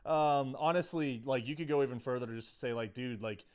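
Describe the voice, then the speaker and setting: A man's voice: medium pitch, a little fast, a little mellow, clean tone. A man co-hosting a recap podcast in casual chat, with wry, sweary banter. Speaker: podcast co-host. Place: studio.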